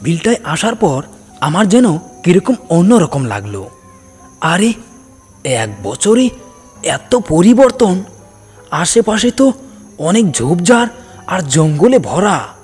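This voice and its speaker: Male narrator for a cartoon horror tale, slightly high voice, swinging expressive delivery, cavernous echo. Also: slow, pauses often, breathy edge. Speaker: storyteller narrating a first-person ghost tale. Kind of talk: dramatic scripted narration for a cartoon horror story. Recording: long cavernous reverb, music underneath. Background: background music.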